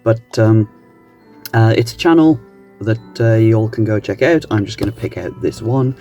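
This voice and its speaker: A man hosting a reaction channel, chatting casually in a halting, musing way. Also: fairly low pitch, mellow, faint music behind. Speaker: host of a reaction video channel. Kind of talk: casual chat reacting to analog horror videos.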